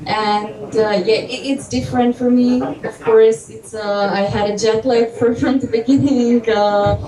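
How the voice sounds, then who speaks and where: Fairly high-voiced woman, echoey. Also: fairly even intonation, a little slow. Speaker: foreign film actress. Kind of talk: casual interview answers in English. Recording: echoey room, distant mic, crowd noise. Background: crowd.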